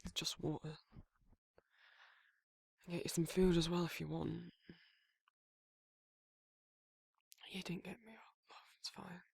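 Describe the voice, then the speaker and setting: A man voicing a gruff but caring werewolf in an intimate ASMR roleplay, with a husky Northern English accent and a hesitant, informal way of talking. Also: high voice, level tone, normal speed, dry recording, clean studio sound, full character voice. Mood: gentle, reassuring. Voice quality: husky, gravelly. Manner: hesitant, informal, stumbling over feelings. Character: gruff but caring young male werewolf, awkwardly protective.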